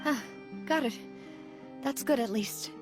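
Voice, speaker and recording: A woman's voice: high-pitched, takes it slowly. An actress playing an earnest young woman who leads a gang, speaking heartfelt and resolute. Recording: game audio over music.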